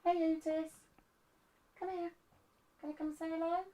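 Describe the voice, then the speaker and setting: A woman's voice: very high-pitched, level tone, moderate pace, mellow. A woman chiming in off-camera during a livestream, playful and interjecting, sometimes cooing at the pets. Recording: off-mic from across the room.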